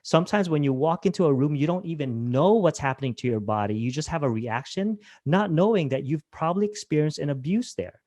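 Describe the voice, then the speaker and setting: A man's voice: a little high, expressive, fairly quick, few pauses, mellow, no room sound. Dry-voiced man presenting a webinar. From Hawaii. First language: Filipino language. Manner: conversational, asks the audience questions.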